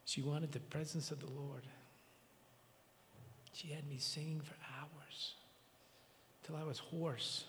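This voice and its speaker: A man with a raspy voice giving a halting, emotional memorial address and reading scripture in a church. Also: a little high, little pitch movement, fairly bright tone, big-room sound, slight background noise. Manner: halting, tearful, repeats words. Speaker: speaker at a memorial service.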